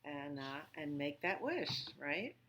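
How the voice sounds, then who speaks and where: Lady doing kids library storytime, really slow talker, lowish voice, simple words and little questions for the kids. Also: sing-song, fairly bright tone. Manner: simple, child-directed talk with questions and pauses. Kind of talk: children's storytime with songs, rhymes and read-aloud.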